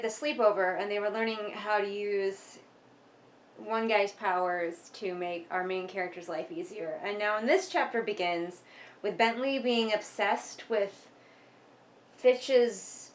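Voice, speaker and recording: A woman's voice: mid-range voice, slight background noise. A woman giving casual, sarcastic book commentary in an emphatic, exasperated manner, sometimes reading passages aloud. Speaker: vlogger doing a critical book read-along.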